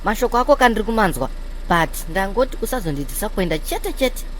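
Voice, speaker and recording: A man's voice: high voice, very animated, quick pace, fairly bright tone, a little hiss or hum. A man voicing a fussy, demanding car owner in a comedy cartoon, nagging and pleading as he lectures. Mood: stern, wary. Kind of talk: comedy cartoon dialogue in Shona mixed with English.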